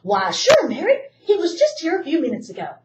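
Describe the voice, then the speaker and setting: A woman voicing a friendly shopkeeper in a children's story, hearty, loud and cheerful, recorded from a distance in a roomy space. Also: extremely high pitch, huge pitch swings, a little fast, heavy background noise, voice pushed up, heavily acted. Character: friendly old male shopkeeper. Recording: roomy echo, distant mic.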